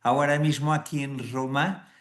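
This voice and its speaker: A man's voice: mid-range voice, steady tone, normal speed, echoey room. A man with a Portuguese accent, a Jesuit priest giving a webinar presentation over a video call, hesitating with fillers and restarting words. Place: indoors in Rome.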